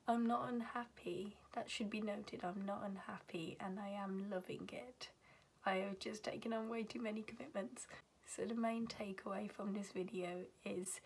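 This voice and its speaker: A young woman from the UK talks casually to her webcam from her bedroom, her voice raspy and gravelly from a recent illness. She drifts into soft, half-whispered phrasing and jokes at her own expense. A faint hiss sits under the recording. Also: medium pitch. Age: young adult. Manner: chatty, self-deprecating, often half-whispered. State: raspy voice from being ill. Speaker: university student vlogger. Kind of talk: casual chat to camera. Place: bedroom at home, indoors.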